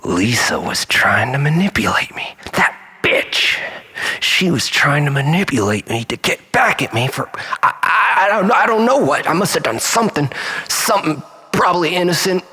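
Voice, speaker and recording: A man playing an obsessive, bitter, unhinged jilted lover in a dramatic monologue, volatile and halting, moving between breathy whispers and outbursts. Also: a little high, animated, bright, forceful tone, heavily acted. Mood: seething, vengeful. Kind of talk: dramatic first-person monologue.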